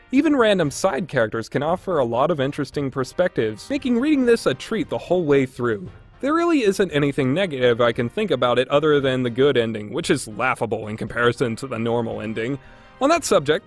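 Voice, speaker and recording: A man delivering a scripted review commentary as a podcast host. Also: medium pitch.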